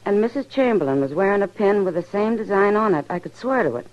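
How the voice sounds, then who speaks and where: A frank American saloon keeper of the Old West, played by a radio actress. Her voice sits at a medium pitch and she talks directly, without fluster. The style is vintage radio drama. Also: animated, quick pace, lo-fi sound, lightly acted. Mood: confiding, earnest. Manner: direct, unflustered.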